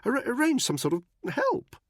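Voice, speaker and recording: Stammering posh coward. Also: male voice, very high voice, wildly swooping pitch, a little fast, no echo, clean studio sound, pitched above the performer's own voice, full character voice. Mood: flustered. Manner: stammering, tripping over words. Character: panicky posh stammering male official, cowardly.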